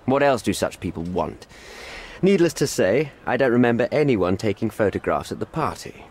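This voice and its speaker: A cornered envoy in middle age, speaks in a video game scene with lively, swinging intonation. He is formal and smooth-talking, forever defending himself and pleading, with faint noise behind him. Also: fairly low pitch, moderate pace. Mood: evasive, regretful. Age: middle-aged. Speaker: British envoy. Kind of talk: video game cutscene acting.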